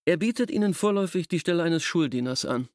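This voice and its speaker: A man's voice: on the high side, expressive, fast, a little mellow, no room sound, clean studio sound, natural voice. A man playing a resentful village schoolteacher in a radio drama, speaking in a formal, officious, disapproving way. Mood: officious, disdainful. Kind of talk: German radio drama acting.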